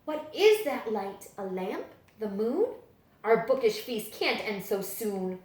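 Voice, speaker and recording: Animated woman reading a picture book aloud. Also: mid-range voice, takes it slowly. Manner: dramatic, animated storytelling delivery. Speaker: librarian reading at a virtual story time. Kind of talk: expressive children's picture book read-aloud. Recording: echoey room.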